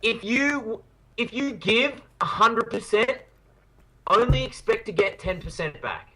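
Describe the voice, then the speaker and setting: Bright, playful man hosting a podcast. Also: sits high, lively intonation, a little slow, rough recording. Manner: playful, jokey, hypes ideas enthusiastically. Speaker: podcast host. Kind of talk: casual podcast chat.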